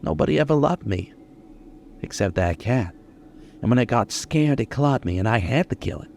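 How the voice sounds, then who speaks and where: An actor playing a lonely, menacing killer in a 1940s-style radio suspense drama, talking in slow, colloquial tough-guy speech. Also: mid-range voice, animated, a little mellow, slight background noise, close to an everyday voice. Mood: weary, resigned.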